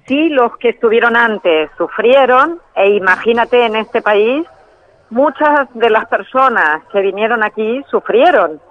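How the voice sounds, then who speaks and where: A woman guest calling in by telephone, explaining ideas in a measured way and sometimes correcting herself mid-phrase. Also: on the high side, animated. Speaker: phone-in guest, trainer in biological decoding. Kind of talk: explanatory interview answers. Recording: tinny phone line.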